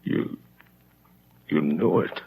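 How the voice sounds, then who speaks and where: An actor playing a weary, humbled ex-convict with a gravelly, husky, halting delivery in a 1930s radio drama. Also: male voice, slight background noise. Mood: stunned. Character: weary, bitter ex-convict man, humbled and overwhelmed. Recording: dull 1930s radio recording.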